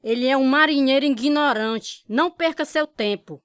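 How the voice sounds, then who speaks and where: High, scornful, scolding woman. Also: normal speed, a bit bright, dry recording, studio quality. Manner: scolding, dismissive. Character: scornful, domineering female relative, disapproving of faith. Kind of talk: radio drama dialogue.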